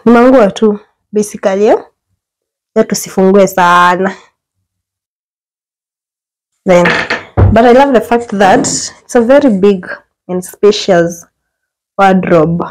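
A woman's voice: medium pitch, measured pace, long pauses, poor audio. Chatty Kenyan woman giving a tour. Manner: chatty, mixes English and Swahili slang, lively exclamations. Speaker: vlogger giving a house tour. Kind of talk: casual house tour chat. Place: small apartment indoors. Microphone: phone camera held while walking.

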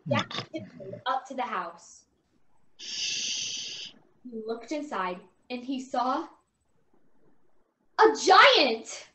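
A woman's voice: on the high side, sing-song, drawn-out words, long pauses. A woman reading scripted storybook narration on a video call from a bedroom. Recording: noisy, roomy video call audio.

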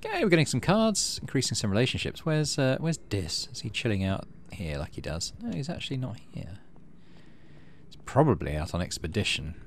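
A man narrating a game playthrough casually, reading text aloud and thinking out loud in an easygoing way. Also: mid-range voice, big pitch swings, a little fast, a little hiss or hum. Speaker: gaming video host.

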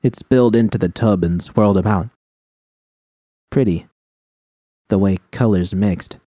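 A man reads a first-person story in a quiet, somber, measured way. His voice sits low with a dark, mellow tone. The sound is dry and close, with no room around it. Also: no room sound. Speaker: audiobook reader. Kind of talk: reading first-person fan fiction aloud.

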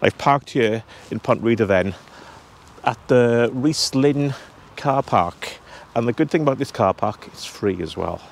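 A man's voice: medium pitch, a little slow, gravelly, a little hiss or hum. A man in his fifties chatting to a handheld camera as he walks outdoors on a cold hillside, talking straight to his viewers. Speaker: travel vlogger. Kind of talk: casual vlog walk-and-talk. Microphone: handheld camera at arm's length.

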